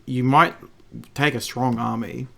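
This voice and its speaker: An Australian man hosts a relaxed podcast chat. His voice is croaky and gravelly after a cold, pitched in an ordinary middle range. He stumbles and restarts his sentences as he goes. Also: measured pace. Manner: stammering restarts, laughs often. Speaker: podcast host.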